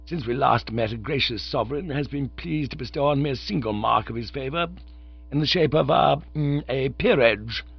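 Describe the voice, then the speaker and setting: Man doing a pompous elderly lord, formal and wordy, gravelly, husky, dull lo-fi sound. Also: mid-range voice, moderate pace, dry recording, pitched above the performer's own voice. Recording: dull lo-fi dry recording.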